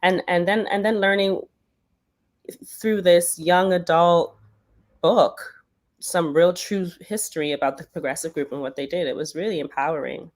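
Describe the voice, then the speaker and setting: A Bermudian woman with a Bermudian accent, a Broadway performer talking casually as an interview guest, animated and often searching for words with fillers. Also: lowish voice, normal speed. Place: lives in New York.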